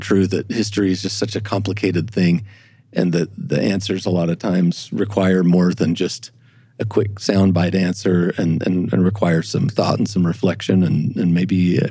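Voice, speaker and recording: Deep mellow guy, kinda raspy, guest expert explaining, halting and repeats words a lot. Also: no room sound. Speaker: podcast guest, church historian. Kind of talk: explanatory discussion.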